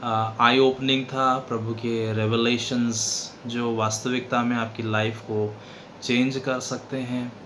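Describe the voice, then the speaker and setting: Slow-paced Indian man in his late thirties. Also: mid-range voice, little pitch movement, fairly bright tone. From western Madhya Pradesh, India. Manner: halting, pauses often, switches between Hindi and English. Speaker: seminar participant giving a testimony. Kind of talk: personal religious testimony, unscripted. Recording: clearly noisy background.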